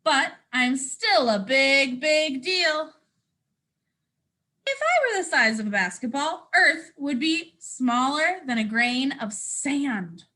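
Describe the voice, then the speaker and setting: A woman's voice: high-pitched, very animated, slow pace, sharp tone, exaggerated voice. A woman reading a children's picture book as a boastful, self-important, cheeky sun, with theatrical, emphatic delivery.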